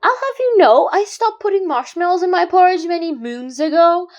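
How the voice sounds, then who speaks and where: A woman's voice: extremely high pitch, lively intonation, moderate pace, dull audio. A woman with an English accent playing a cheeky, cocky eleven-year-old boy detective in a student radio play.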